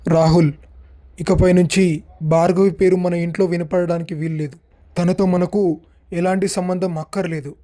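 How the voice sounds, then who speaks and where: A man's voice: sits high, level tone, moderate pace, dark tone, lightly acted. A man voicing a harsh, proud father-in-law. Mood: stern. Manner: scolding, stern.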